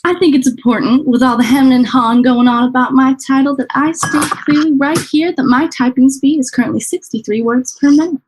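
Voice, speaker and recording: An actress playing a bossy, brash, outspoken secretary in a comic radio play, insistent, on a noisy recording. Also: female voice, sits high, fairly even intonation, fairly quick, few pauses, lightly acted. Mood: indignant. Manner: insistent, talking over others.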